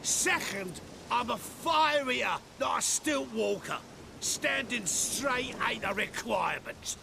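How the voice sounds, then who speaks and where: A drunken street fire eater, cocky and coarse, in a video game cutscene. His voice sits high and gravelly, swinging widely up and down as he drawls slowly. Loud ambient game sound fills the background. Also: lively intonation, background noise, heavily acted. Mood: defiant. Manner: gruff, cocky, coarse slang, slurring drunk. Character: drunken scruffy male fire eater, touchy and boastful.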